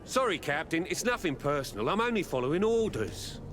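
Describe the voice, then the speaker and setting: An actor playing an evasive, stubborn merchant's apprentice in a video game, deferential and full of whiny excuses. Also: male voice, a little high, very animated, brisk. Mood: defensive. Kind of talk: scripted video game dialogue. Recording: music behind the voice.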